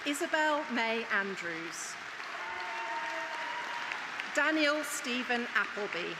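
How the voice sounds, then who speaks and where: A woman with a slightly high voice reads graduates' names at a slow, formal pace. Each name is measured and deliberate. Loud crowd applause and heavy room noise surround her. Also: lively intonation. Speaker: head of school presenting graduates. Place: large ceremony hall.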